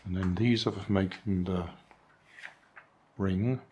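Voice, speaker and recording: An older man talks through his latest charity shop finds in a dry, musing way, with plenty of asides. His voice sits on the low side, and a faint noise runs under the recording. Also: steady tone, takes it slowly, darkish tone, lo-fi sound. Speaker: vlog host reviewing charity shop finds. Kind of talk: casual show-and-tell narration. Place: indoors at a table, hands on camera.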